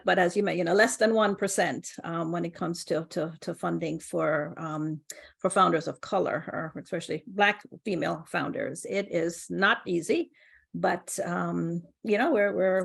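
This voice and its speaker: A woman's voice: medium pitch, creaky. A middle-aged woman with a Jamaican accent, speaking as a co-founder in a casual interview, laughing often and restarting her sentences. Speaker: co-founder and guest.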